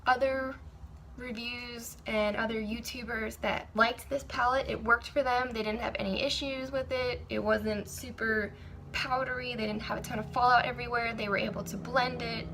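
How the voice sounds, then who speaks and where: A young woman with a raspy, sore throat, chatting candidly through a makeup review with frequent ums and restarts. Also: fairly high pitch, fairly bright tone, a little hiss or hum. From Maryland, USA. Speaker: beauty vlogger. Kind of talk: casual product review.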